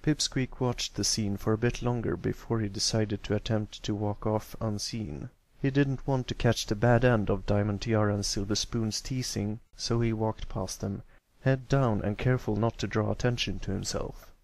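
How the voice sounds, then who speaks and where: A man with a gravelly voice reading a scripted story as an amateur narrator. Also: on the low side, steady tone, moderate pace, darkish tone. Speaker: amateur audiobook reader.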